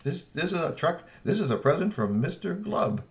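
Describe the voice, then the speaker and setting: A man's voice: on the high side, big pitch swings, normal speed, voice pushed up. Give me a friendly generous young hero, as a man plays him, slightly raised voice. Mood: cheerful.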